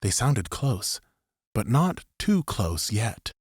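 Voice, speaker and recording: Casting: male audiobook narrator, lowish voice, scripted story narration, close dry sound. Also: sing-song, slow pace, slightly rough, dry recording.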